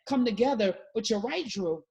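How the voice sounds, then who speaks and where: A woman's voice: on the high side, animated, moderate pace, dark tone, breathy edge. A woman hosting a talk show, speaking with emphatic, passionate conviction in casual political discussion.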